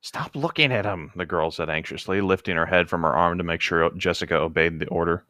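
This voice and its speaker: A man's voice: deep voice, a little fast, pushed and bright, no room sound, clean studio sound. A man co-hosting a book read-aloud, switching between narrating a novel and casual, slightly hesitant joking commentary.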